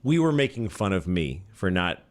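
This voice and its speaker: A man's voice: low-pitched, very animated, normal speed. A man in his forties talking casually as a podcast guest, rambling and restarting his sentences, often reaching for sports comparisons. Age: 40s. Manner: rambling, self-interrupting, with sports analogies. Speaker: comedian guest.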